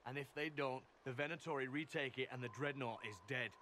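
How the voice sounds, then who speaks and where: A man's voice: medium pitch, steady tone, a little fast, pushed and bright, slight background noise, close to an everyday voice. An actor playing a stern, zealous male elf agent in a video game, clipped, commanding and scornful. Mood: urgent, insistent. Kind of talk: dramatic video game acting.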